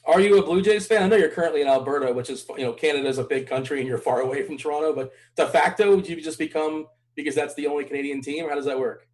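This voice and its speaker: A man's voice: fairly high pitch, quick pace, hardly any pauses, a little mellow. A man hosting a podcast, asking friendly, curious questions in a casual interview. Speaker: podcast host.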